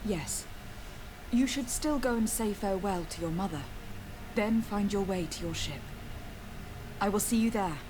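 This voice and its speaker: A woman's voice: mid-range voice, unhurried, long pauses, close to an everyday voice. An actress playing a composed, principled noblewoman legate in a video game, speaking with formal, firm courtesy. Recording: clear background noise from game ambience.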